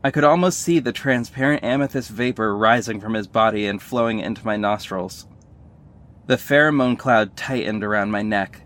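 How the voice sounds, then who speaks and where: A man's voice: on the low side, normal speed, a bit bright, lightly acted. An actor playing a sardonic male superhero who narrates his own story in a scripted audio drama, wry and earnest. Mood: tense recounting.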